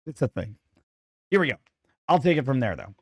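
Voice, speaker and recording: A man's voice: medium pitch, big pitch swings, brisk, dry recording, studio quality. A man co-hosting a casual show who is losing his voice, chatty, opinionated and self-deprecating. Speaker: podcast co-host. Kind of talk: casual chat.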